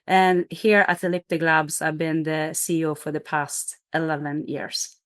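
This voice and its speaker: A woman's voice: low voice, level tone, normal speed, a bit bright, no room sound, clean studio sound. A middle-aged woman from Norway, a company CEO, explaining her business in an interview with energy, fillers and restarts.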